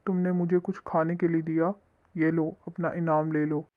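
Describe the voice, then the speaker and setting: A woman's voice: deep voice, level tone, moderate pace, voice pushed down, lightly acted. A woman voicing a menacing, hungry witch who haunts a forest and makes commanding demands in a storybook cartoon. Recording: muffled, phone-like lo-fi sound.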